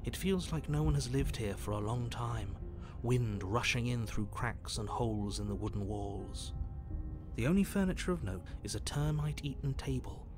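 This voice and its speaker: Stern male storyteller over loud music, played by an actor. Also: medium pitch, moderate pace, close to an everyday voice. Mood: grave, descriptive. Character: stern, exasperated, formal male narrator of a horror fairy tale. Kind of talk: scripted game narration. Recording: music behind the voice. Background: loud game music.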